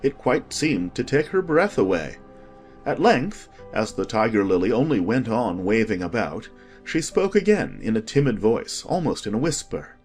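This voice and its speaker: A man narrating a children's story as an expressive, scripted audiobook reading. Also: on the low side, normal speed, faint music behind.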